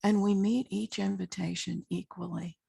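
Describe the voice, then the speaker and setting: A woman leading a guided meditation as a spiritual teacher, speaking in short, measured phrases with long pauses and a husky, gravelly voice. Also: medium pitch, fairly even intonation, dark tone, no room sound.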